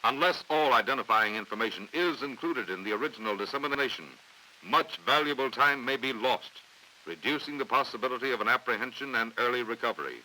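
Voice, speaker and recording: An American man reading scripted training film narration in a formal, authoritative, measured way on a vintage 1950s soundtrack. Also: mid-range voice, expressive, bright, forceful tone, rough recording. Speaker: documentary narrator.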